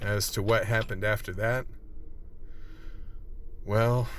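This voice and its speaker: An actor playing a wry vice team member, narrating a radio play colloquially. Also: male voice, lowish voice, steady tone, takes it slowly, a little hiss or hum. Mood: grim storytelling. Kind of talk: scripted in-character narration.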